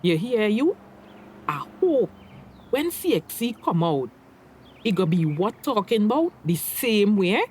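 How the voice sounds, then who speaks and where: An actress playing a sharp-tongued but caring mother in a radio drama, with a Tobagonian accent and expressive, admonishing dialect. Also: medium pitch, measured pace, slight background noise. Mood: wry.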